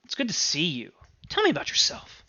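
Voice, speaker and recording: A whimsical young man from a storybook, voiced by a male reader, teasing and playfully mock-serious. His voice is bright and a little high, with exaggerated emphasis. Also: huge pitch swings, brisk, lo-fi sound, heavily acted.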